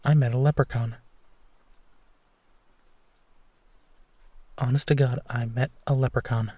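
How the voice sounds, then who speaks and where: A man playing a gentle, protective werewolf, speaking tenderly and soothingly in an intimate sleep-aid roleplay, with a soft, breathy voice. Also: medium pitch, fairly even intonation, dry recording, lightly acted. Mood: gently amused. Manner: hushed, tender, unhurried reassurance with pauses. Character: gentle, protective male werewolf comforting a frightened companion.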